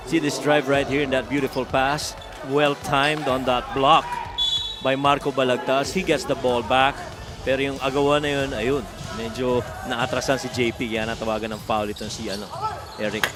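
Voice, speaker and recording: Filipino male basketball color commentator. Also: mid-range voice. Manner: explanatory, switches between English and Tagalog. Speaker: color commentator, game analyst. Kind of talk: live basketball analysis.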